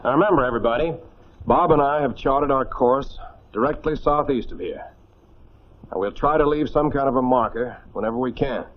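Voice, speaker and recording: An American man playing a steady, caring airman skipper who gives matter-of-fact orders in a 1940s film drama. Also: mid-range voice, brisk, close to an everyday voice. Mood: resolute. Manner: instructive, matter-of-fact, encouraging. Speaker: actor. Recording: muffled 1940s film soundtrack, faint music.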